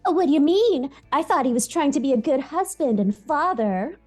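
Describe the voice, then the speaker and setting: A woman's voice: high-pitched, animated, normal speed, a little mellow, faint music behind. A woman playing a naive, sympathetic parent with dramatic reactions in an amateur table read. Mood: shocked. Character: shocked, sympathetic, naive parent hearing gossip.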